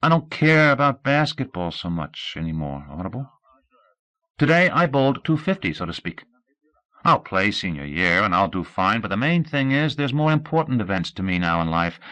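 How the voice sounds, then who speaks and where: A man's voice: lowish voice, big pitch swings, normal speed, pushed and bright, voice pushed up, rough recording. A man reading aloud, voicing an earnest teenage boy athlete who rambles and later cries.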